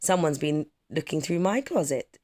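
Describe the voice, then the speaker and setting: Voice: mid-range voice, very animated, moderate pace, a little mellow, close to an everyday voice. A storyteller voicing an exasperated, knowing mother in a children's storybook, speaking emphatically in disbelief. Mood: weary, knowing. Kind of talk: children's storybook read-aloud.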